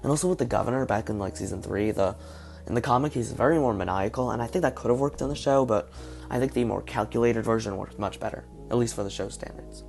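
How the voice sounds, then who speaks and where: A man with a hoarse, partly lost voice, talking through his guesses in a casual video commentary. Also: mid-range voice, fast talker, darkish tone, faint music behind. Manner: speculative, thinking aloud. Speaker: video reviewer.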